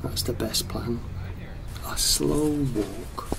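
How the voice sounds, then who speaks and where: A lonely, dithering man played by an actor mutters through his options in a radio play monologue. His voice has a gravelly creak, over faint background noise. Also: medium pitch, slow pace. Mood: resigned. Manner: muttering, deliberating to himself. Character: hesitant, lonely man talking himself out of things.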